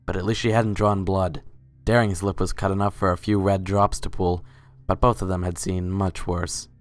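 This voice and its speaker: A man serves as narrator for a dramatic story reading. His voice is low, and faint music plays beneath the scripted narration. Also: flat delivery, normal speed.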